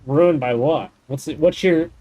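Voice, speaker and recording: A man's voice: a little high, a little slow, mellow. A man streaming a game, chatting casually and explaining the game's mechanics in detail. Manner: rambling, thinks aloud. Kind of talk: casual gaming chat.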